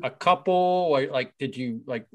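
Middle-aged male co-host, mid-pitched, jokey, loose rambling questions. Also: measured pace, fairly bright tone. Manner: joking, asks rambling multi-part questions. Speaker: podcast co-host. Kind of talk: casual interview chat.

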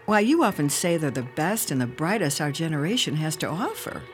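An actress playing the gentle, doting elderly wife of a college dean in a radio drama. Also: female voice, low voice, sing-song, brisk, soft background music. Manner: fond, doting. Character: older dean's devoted wife, gentle and homely.

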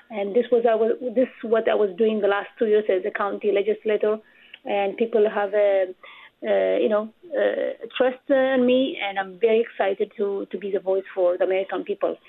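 Earnest woman in her forties, gravelly edge, phone-quality audio. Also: medium pitch, fairly even intonation. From Ethiopia. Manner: earnest, with frequent pauses and fillers. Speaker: political candidate. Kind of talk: interview answers. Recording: tinny phone line.

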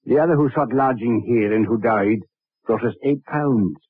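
An actor playing a cold-blooded, calculating body seller in an old radio drama, speaking with slow insinuation. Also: male voice, medium pitch, dry recording, lightly acted. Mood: impatient, scheming. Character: cold-blooded, scheming poor body-seller turned murderer. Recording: muffled, phone-like vintage radio recording.

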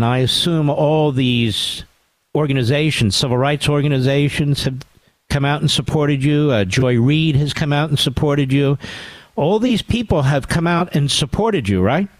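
A man's voice: a little high, no echo, rough recording. A man in his sixties hosting a talk radio show, speaking emphatically and combatively.

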